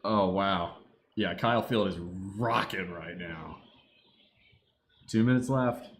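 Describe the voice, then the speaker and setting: A man chatting casually to his live stream from home, slipping into sudden excited yelling at a football game. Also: deep voice, takes it slowly. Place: home room.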